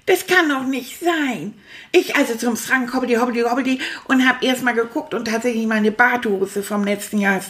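A woman voicing a boastful, childlike male toy rat who chatters excitably and impatiently to young listeners on a children's audio show. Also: on the high side, normal speed, lightly acted. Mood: indignant.

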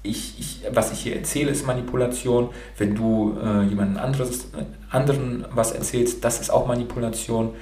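A Romanian-born man raised bilingual in Germany talks with a rolled R, frank and loose, dropping curse words and fillers. His voice sits at an ordinary pitch for a man. The recording carries a noticeable room echo. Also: fairly even intonation, moderate pace, hardly any pauses, mellow. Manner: frank, with casual swearing and many fillers. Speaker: podcast host being interviewed. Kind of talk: casual motivational talk.

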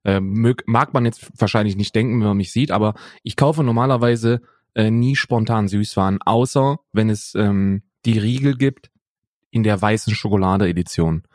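A man's voice: on the low side, normal speed, darkish tone, no echo, clean studio sound. A man co-hosting a podcast in casual chat, rambling with many fillers and restarts, recorded over a remote call. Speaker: podcast co-host.

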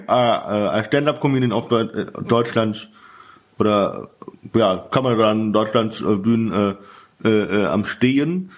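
A male host runs a casual interview and asks his guest questions. His pitch is average and his speech is halting, stopping and starting with frequent filler sounds.

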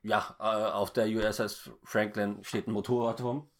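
Halting, stammering man with lowish voice. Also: little pitch movement. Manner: halting, stammering, frequent false starts. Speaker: podcast co-host recapping a film. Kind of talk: casual unscripted plot recap.

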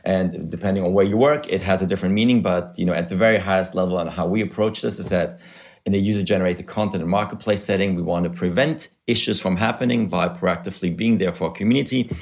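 Podcast guest, man in his thirties, German accent, low voice, measured explainer. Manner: measured, explanatory, uses you know. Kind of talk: podcast interview.

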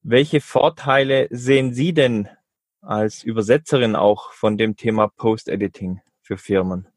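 A man hosting an interview podcast, asking friendly, measured questions. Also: lowish voice, steady tone, no echo, clean studio sound.

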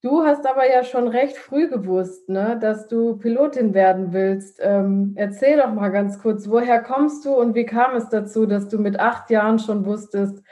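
Woman, interviewer, hesitant, lots of fillers, warm mellow voice. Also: on the high side, normal speed, hardly any pauses.

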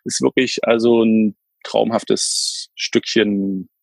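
A man's voice: fairly low pitch, steady tone, slow, creaky, no room sound. A man, chatting as a podcast guest, rambling with lots of fillers and restarts. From Berlin, Germany. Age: 30s. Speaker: podcast guest, camper van renter. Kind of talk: casual interview chat.

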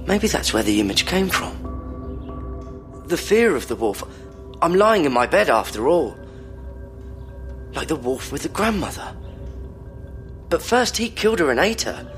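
An actor playing an anxious, earnest psychoanalysis patient in an audio drama, telling things in a halting, haunted way. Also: male voice, fairly high pitch, very animated. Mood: fearful, remembering. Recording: clear music behind voice.

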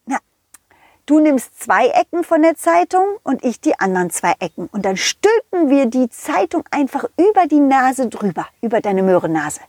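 A woman's voice: sits high, big pitch swings, normal speed, darkish tone, no room sound, studio quality. A woman voicing a confident, loyal dog in a children's audio play, encouraging and reassuring, always offering a solution. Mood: eager, explaining. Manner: encouraging, reassuring problem-solver.

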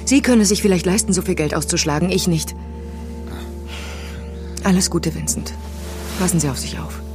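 A woman's voice: on the low side, moderate pace, natural voice. A woman playing a proud, wronged and unforgiving character in a German podcast drama, speaking tersely and coldly over music. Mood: guarded, firm. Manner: terse, cold. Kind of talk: German fiction podcast acting.

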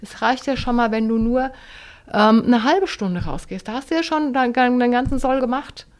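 A woman's voice: on the high side, moderate pace. Hesitant chatty German woman. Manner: hesitant, many fillers and restarts. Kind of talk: casual chat.

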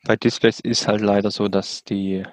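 A man's voice: lowish voice, flat delivery, moderate pace, no echo. A man interviewing a translator, asking practical questions with frequent hesitant fillers.

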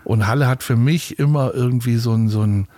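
A man's voice: mid-range voice, quick pace, mellow, no room sound. A man in his sixties from Berlin, a guest telling chatty stories in an interview, with many fillers and restarts. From Berlin, Germany. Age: 60s. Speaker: arena manager, guest. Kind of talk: relaxed interview talk.

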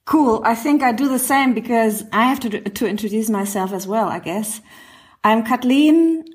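A woman's voice: fairly high pitch, moderate pace. A woman in her forties hosting a casual conversational podcast, chatty and thinking aloud as she goes. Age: 40s. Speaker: podcast host.

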